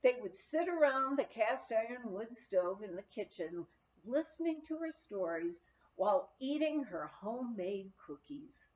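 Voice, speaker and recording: A woman's voice: fairly high pitch, animated, a little slow, dull audio. A woman reading a memoir aloud as scripted, storytelling narration. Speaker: audiobook reader.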